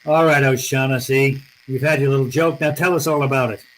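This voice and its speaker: A tough, cool gumshoe from an old-time radio play, acted by a man. He bargains in blunt, slangy phrases at a middling pitch, over slight background noise. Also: fairly even intonation, fairly quick, fairly bright tone, lightly acted, low-quality recording. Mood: stern. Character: tough, cool, bargaining hardboiled male private detective.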